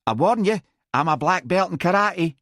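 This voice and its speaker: A man's voice: high voice, lively intonation, moderate pace, fairly bright tone, dry recording, clean studio sound, pitched above the performer's own voice. A man voicing a sour, contemptuous policewoman in an audiobook, with a whining, sing-song Scottish accent. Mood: sneering, aggressive.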